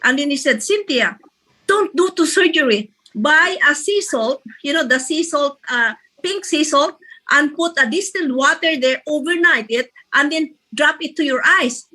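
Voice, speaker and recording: A woman in her sixties with a high, bright voice, hosting a group call in a rambling, chatty way. She laughs often and talks loosely, as in casual chat. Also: expressive, moderate pace, rough recording. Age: 60s. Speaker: host leading an online group session.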